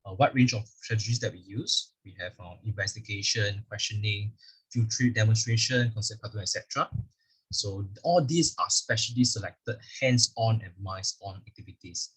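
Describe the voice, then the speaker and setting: A low-voiced man with a Singaporean English accent walks an online audience through a school curriculum. His voice is very husky and breathy. He explains step by step, filling pauses with "uh" and "actually". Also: a bit bright, poor audio. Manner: explanatory, leans on "actually" and fillers. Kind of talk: virtual curriculum presentation with slides. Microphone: video call microphone.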